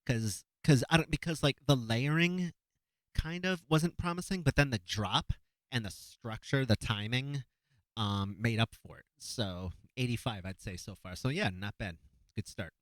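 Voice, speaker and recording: Relaxed low-voiced man breaking down a battle. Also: dry recording, low-quality recording. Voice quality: naturally low voice. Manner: relaxed, hesitant, many fillers and restarts. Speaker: beatbox battle analyst. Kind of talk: casual commentary over a video.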